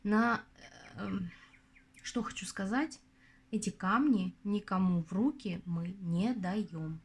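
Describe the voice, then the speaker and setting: Husky-voiced woman giving friendly advice. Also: medium pitch, slow pace. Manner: chatty, confiding, addresses viewers as girls. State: voice going hoarse. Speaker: tarot reader hosting her channel. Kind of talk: casual advice talk to viewers.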